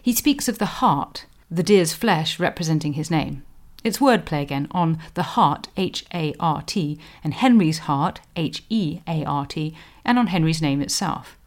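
A woman historian hosting a podcast, giving scripted explanatory narration in an articulate, scholarly manner with deliberate emphasis. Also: low-pitched, animated.

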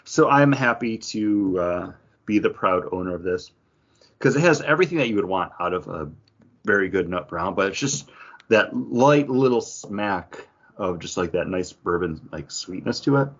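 A man with a fairly low voice talks as a podcast co-host. His delivery is casual and conversational, wandering a little as he goes. The recording is slightly dull and lo-fi. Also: expressive, moderate pace, fairly bright tone. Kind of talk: casual chat, craft beer review. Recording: dull, lo-fi audio.

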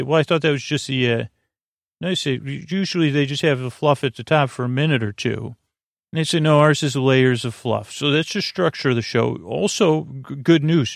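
Creaky guy doing a sleep podcast, soothing rambly halting talk, super dry sound. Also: mid-range voice, no room sound. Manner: halting, digressive, many false starts and filler words, soothing. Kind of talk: rambling, meandering bedtime podcast monologue.

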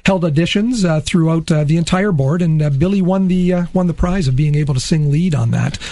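A middle-aged man with a slightly raspy voice, an interview guest from a school, talking with many hesitations and restarts. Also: fairly high pitch, brisk, warm tone, dry recording. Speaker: teacher at an arts-focused high school.

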